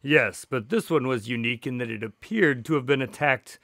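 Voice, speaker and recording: A man playing an earnest, measured doctor and detective's sidekick in a radio drama. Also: mid-range voice, sharp tone, dry recording, studio quality. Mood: earnest storytelling. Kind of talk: radio drama dialogue and storytelling.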